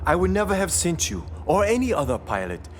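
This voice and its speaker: An actor playing a formal, dignified Japanese prince in an audio drama, earnest and commanding. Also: male voice, high-pitched, huge pitch swings, noisy background. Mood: earnest regret. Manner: formal, measured. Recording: music under the voice.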